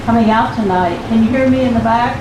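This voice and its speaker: An older woman with a low, soft voice giving an unhurried bookstore talk and reading aloud from her book. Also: lo-fi sound. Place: bookstore event room. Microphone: room recording from a distance. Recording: roomy, distant, noisy live recording.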